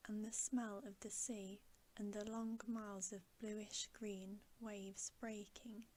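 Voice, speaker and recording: A woman reading a bedtime story aloud in ASMR style, softly and deliberately, often in a whisper, close to the microphone. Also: medium pitch, poor audio. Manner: soft, hushed, often whispering, slow and deliberate. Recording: steady background hiss.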